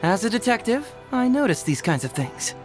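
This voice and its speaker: An actor playing a young male detective in a video game, quick-witted, easygoing and teasing. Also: high voice, very animated, loud music behind the voice. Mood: easygoing, playful. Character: young male detective, sharp and playfully confident. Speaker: detective character.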